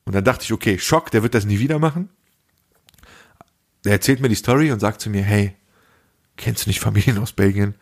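Young guy podcast host, raspy n creaky voice, slangy casual storytelling, does the dialogue bits. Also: fairly low pitch, fairly quick, long pauses. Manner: slangy, acts out dialogue in anecdotes. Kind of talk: casual chat, animated storytelling.

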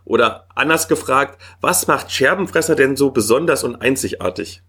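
A man's voice: mid-range voice, animated, moderate pace, a bit bright, a little hiss or hum. A man hosting a podcast, interviewing a guest with friendly, structured questions.

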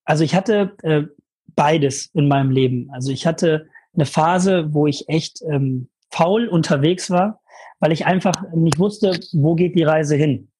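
A young man telling a lively personal story in a casual interview, full of fillers and emphasis. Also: fairly high pitch, measured pace, slightly rough. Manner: energetic, animated storytelling with many fillers. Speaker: guest, former mastermind participant.

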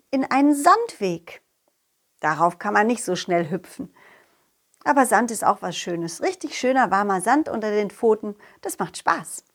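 A woman voicing a cheerful, confident, loyal talking dog who tells his adventures playfully and expressively in a children's audio play. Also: medium pitch. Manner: playful, expressive, addressing a companion.

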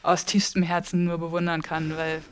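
Frau aus Norddeutschland, weiche klare Stimme. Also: female voice, lowish voice, flat delivery, moderate pace. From northern Germany. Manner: hesitant, many fillers. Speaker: librarian. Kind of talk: casual podcast chat.

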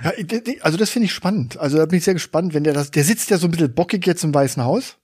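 Husky joking podcast man, dry sound. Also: fairly high pitch, lively intonation, fast talker, darkish tone, no room sound. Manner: joking, laughs often, restarts. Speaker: podcast co-host. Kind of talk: casual chat. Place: Berlin.

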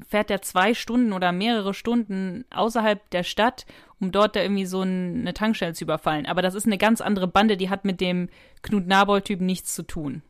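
A woman's voice: mid-range voice, no echo, studio quality. A woman co-hosting a podcast, chatting casually as she discusses a story. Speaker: podcast co-host.

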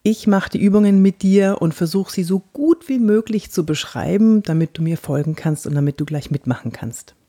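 A woman from Franconia with a light Bavarian lilt, an actress and body-language coach giving a chatty podcast lesson on clear speech and slowly guiding mouth exercises. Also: mid-range voice, expressive, mellow. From Franconia, Germany. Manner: guides exercises slowly and deliberately; chatty and personal.

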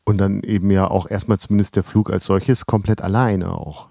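Guy asking casual follow-up questions, low mellow voice, dry sound. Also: fairly even intonation, normal speed, gravelly, no echo. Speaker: interviewer. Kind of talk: casual conversation.

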